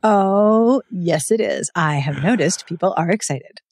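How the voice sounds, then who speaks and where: A woman's voice: on the low side, animated, unhurried, darkish tone, no echo. Enthusiastic woman hosting a podcast, dry sound. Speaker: podcast host. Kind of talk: casual interview chat.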